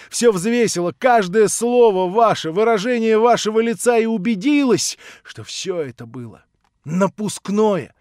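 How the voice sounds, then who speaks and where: A man's voice: high voice, darkish tone, no room sound, studio quality. A man reading an audiobook voices a proud young woman, speaking impassioned and outraged. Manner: impassioned, rising to outraged cries.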